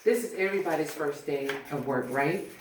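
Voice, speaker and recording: A woman acts out an easygoing female barber, chatty and plain-spoken, in a film script read-through. Her voice sits low and sounds far from the mic in a very echoey room. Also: fairly quick, natural voice. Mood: relaxed, friendly. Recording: very echoey room, distant mic, faint noise.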